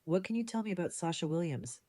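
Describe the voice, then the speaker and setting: A woman playing a composed, decisive community leader in an audio drama, speaking in a measured, diplomatic way. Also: fairly low pitch, lively intonation, warm tone. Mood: firm, businesslike. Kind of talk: fan audio drama dialogue.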